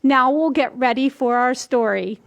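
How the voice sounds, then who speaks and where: An older woman in her sixties hosting a children's storytime, reading aloud and explaining things simply and deliberately for small children. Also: high-pitched, creaky, muffled recording. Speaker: library storytime host. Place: small indoor room.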